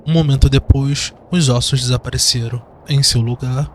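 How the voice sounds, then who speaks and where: A man's voice: mid-range voice, fairly even intonation, normal speed, a little hiss or hum. A man with a Brazilian accent giving dramatic scripted narration of a fantasy audio drama. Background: background music.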